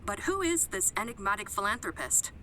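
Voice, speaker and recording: An actress playing a polished, dramatic TV news reporter in a video game. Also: female voice, medium pitch, very animated, fairly quick, pushed and bright, a little hiss or hum, poor audio. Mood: dramatic, intrigued.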